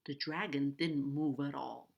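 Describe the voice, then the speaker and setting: A woman's voice: extremely low pitch, steady tone, measured pace, gravelly, lo-fi sound. A woman reading a children's story aloud expressively, stretching key words for dramatic emphasis. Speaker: storybook reader.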